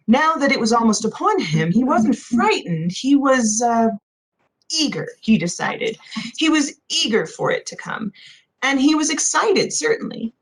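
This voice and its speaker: A woman reading a novel aloud as expressive scripted narration. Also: on the high side, breathy edge, poor audio. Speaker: audiobook narrator.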